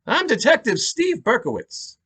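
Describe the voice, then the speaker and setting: A man reading a novel aloud, voicing a brisk, courteous police detective with a New York accent. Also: very high voice, big pitch swings, pushed and bright, pitched above the performer's own voice, full character voice. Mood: brisk, polite. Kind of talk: audiobook-style dramatic reading.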